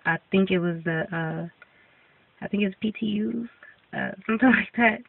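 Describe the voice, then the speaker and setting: A woman's voice: medium pitch, normal speed, creaky, recorded from a distance. A young woman vlogger giving a casual update, congested and coming down with a cold, rambling with many ums and restarts. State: congested, getting sick. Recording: tinny phone-like sound from off-mic.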